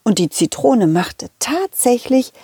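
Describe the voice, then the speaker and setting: Woman as bright-eyed, high-voiced cheerful storytelling dog. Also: sing-song, slow, husky, dry recording, clean studio sound, exaggerated voice. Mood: curious, amused. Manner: playful, chatty, giggly, addressing children directly. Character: cheerful, excitable, loyal dog telling children stories. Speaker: puppet character host. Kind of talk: children's audio story narration.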